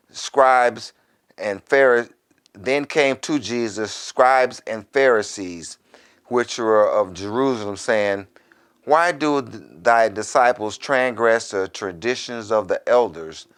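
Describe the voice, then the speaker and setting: Slow-speaking, clear-voiced male minister. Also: lowish voice. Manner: deliberate, preacherly, with quieter conversational asides. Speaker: minister, Bible teacher. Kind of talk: scripture reading with informal sermon commentary.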